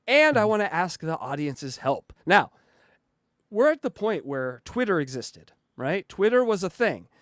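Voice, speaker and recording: High-voiced, animated male podcast host. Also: no room sound, studio quality. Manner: halting, filler-heavy, giggly. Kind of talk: casual comedic podcast chat.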